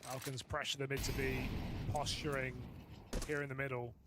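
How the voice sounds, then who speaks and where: Measured male color commentator. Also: mid-range voice, little pitch movement. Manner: measured, explanatory breakdowns. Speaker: esports analyst caster. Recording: broadcast feed with background noise.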